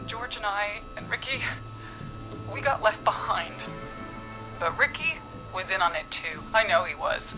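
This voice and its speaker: An actress playing a bitter, menacing killer in a horror game, breathy and often dropping toward a whisper. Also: female voice, mid-range voice, animated, measured pace, long pauses, music in the background, natural voice, poor audio. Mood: bitter, haunted. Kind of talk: scripted game voice acting.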